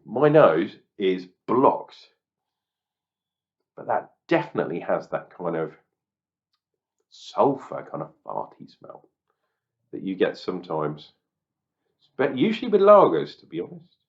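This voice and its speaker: A man, husky and crackly from a cold, chatting casually through a beer review. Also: lowish voice, wildly swooping pitch, measured pace, long pauses. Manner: chatty and self-deprecating, muttering asides. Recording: slightly dull lo-fi sound.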